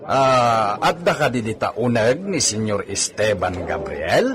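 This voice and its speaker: A man's voice: fairly low pitch, sing-song, measured pace, a crowd in the background. A man playing a cheeky, boastful village horseman with an Ilocano accent in a comedy radio drama, chuckling and talking to himself. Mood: teasing, nosy.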